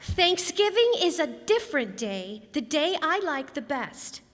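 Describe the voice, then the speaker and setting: A woman's voice: sits high, big pitch swings, measured pace, fairly bright tone, full character voice. A woman playing a petulant nine-year-old girl, the youngest child, in an old-time radio play recorded on a reverberant stage. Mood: sing-song. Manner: petulant, sing-song recitation.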